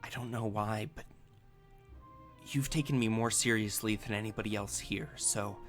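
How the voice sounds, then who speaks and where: A man's voice: lowish voice, steady tone, moderate pace, a bit bright, soft background music, natural voice. A man voicing a nervous, polite young female museum employee in a tabletop game, hesitant and apologetic.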